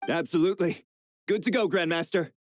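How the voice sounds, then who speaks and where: A man's voice: high-pitched, fairly quick, lightly acted. A man voicing a dutiful knight in a video game, reporting respectfully and in a businesslike way. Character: dutiful male knight reporting to his commander.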